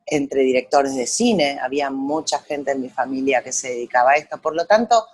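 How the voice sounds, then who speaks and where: An Argentine woman in middle age speaks as a guest in an interview. She tells her life story in a relaxed, reflective way, with frequent pauses and hesitations while she gathers her memories. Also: rumbling low. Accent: Argentine Spanish. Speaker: guest photographer. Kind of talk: conversational interview over a call.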